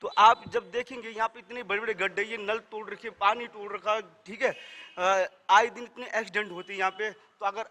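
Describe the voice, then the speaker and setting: A man's voice: high voice, steady tone, normal speed, bright, forceful tone. A man, a local member of the public, giving a complaining answer in a street interview. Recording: reverberant, echoing sound.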